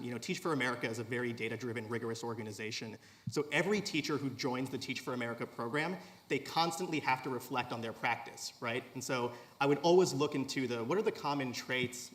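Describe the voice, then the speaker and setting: A man's voice: medium pitch, fairly bright tone. A man in his thirties, an investor and former teacher, speaking earnestly on a panel with many fillers. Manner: earnest, storytelling, fillers like "you know", "like". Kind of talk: panel discussion. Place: conference hall stage.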